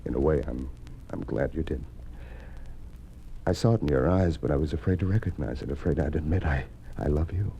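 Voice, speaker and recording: An actor playing a brave, brooding political candidate in a 1950s radio melodrama, earnest and dramatic in delivery. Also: male voice, a bass voice, a little fast, gravelly, highs cut off. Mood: hushed, tender. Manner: earnest, dramatic, swinging from hushed to urgent. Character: brave, brooding male senate candidate marked for death. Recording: faintly noisy old radio recording.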